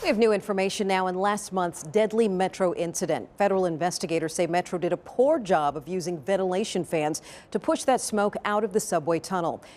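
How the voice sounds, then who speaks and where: A woman's voice: on the low side, brisk, a little mellow. A woman with an American accent presenting scripted news as an anchor.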